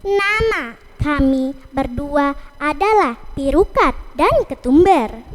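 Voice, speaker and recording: A woman's voice: high-pitched, animated, slow. A woman storyteller voicing a tiny, earnest, aggrieved rice-barn spirit in a children's folktale. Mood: earnest announcing.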